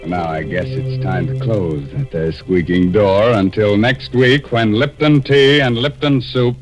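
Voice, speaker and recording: An American man hosting a 1940s radio horror show with mock-folksy, macabre comic patter. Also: on the low side. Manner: mock-folksy, ghoulishly jokey. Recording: old 1940s radio recording with music.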